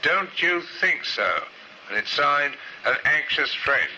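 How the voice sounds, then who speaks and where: An actor playing a deferential, careful police subordinate in an old radio drama, measured and precise. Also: male voice, fairly high pitch, lively intonation, pushed and bright, lo-fi sound, heavily acted. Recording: hissy vintage radio recording.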